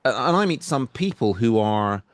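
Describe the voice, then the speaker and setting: A man hosting a podcast in casual chat, halting and restarting often, wandering into playful tangents. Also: mid-range voice, animated, no echo. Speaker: podcast host.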